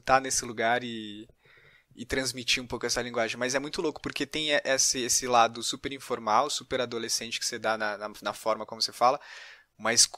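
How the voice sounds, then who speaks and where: A Brazilian man chats as a podcast interviewer in a bright, crisp-edged voice. The sound is dry, with no room around it. He works through his thoughts informally, circling back over phrases at a medium pitch. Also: fairly even intonation, a little fast, no room sound. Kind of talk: casual interview chat.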